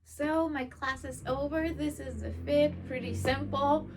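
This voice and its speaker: A young woman whose first language is Spanish talks in a casual, chatty vlog style. Her voice sits fairly high. The informal recording carries faint background noise. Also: steady tone, unhurried. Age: 20s. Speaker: vlogger.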